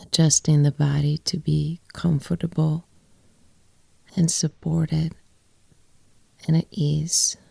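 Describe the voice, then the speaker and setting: A woman guiding a yoga nidra meditation in a soft, breathy, often near-whispered voice, with gentle phrases and long pauses. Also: deep voice, flat delivery, dry recording. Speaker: yoga nidra teacher and guide. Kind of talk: guided meditation, soft and soothing.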